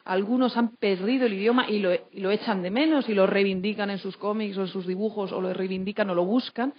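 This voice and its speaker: A woman's voice: medium pitch, fairly even intonation, a little fast. Woman from Spain, dull lo-fi sound. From Madrid, Spain. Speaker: Spanish teacher, panelist. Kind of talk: unscripted panel discussion. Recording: dull, lo-fi event recording.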